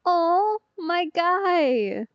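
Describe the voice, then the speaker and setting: A woman's voice: very high-pitched, sing-song, very slow, no echo, muffled recording. A woman giving casual, chatty let's play commentary, reacting aloud as a game streamer.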